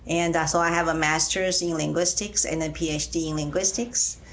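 A middle-aged woman from Taiwan, speaking as a guest in an interview, with frequent uh and um pauses. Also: low-pitched, fairly even intonation, fairly bright tone. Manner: hesitant, many uh and um pauses. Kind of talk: faith-sharing interview.

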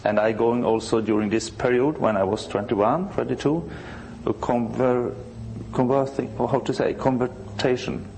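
A Swedish man in his sixties from the north of the country, a church musician being interviewed, speaking halting English and often restarting to search for words. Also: mid-range voice, fairly even intonation, a little mellow, a little hiss or hum, poor audio. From northern Sweden.

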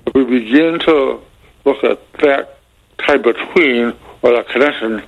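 Male caller w a speech impediment, creaky, lots of halting and searching for words, bit muffled radio call. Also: medium pitch. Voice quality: speech impediment, effortful articulation. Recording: slightly dull, band-limited radio call.

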